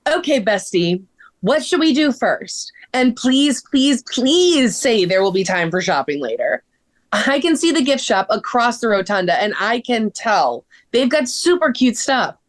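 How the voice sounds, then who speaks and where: A woman voicing an excitable, dramatic best friend who loves shopping, in a table read of a children's story. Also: on the high side, measured pace. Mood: pleading, excited. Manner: dramatic, effusive.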